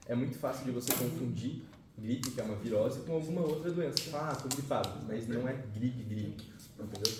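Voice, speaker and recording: A man explains biology to his students in a loose, slangy way, swearing now and then and checking in with them often. His voice sits at an ordinary pitch and is picked up from a distance. The room is echoey and the recording is noisy. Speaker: biology teacher. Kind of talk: casual classroom lesson. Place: classroom.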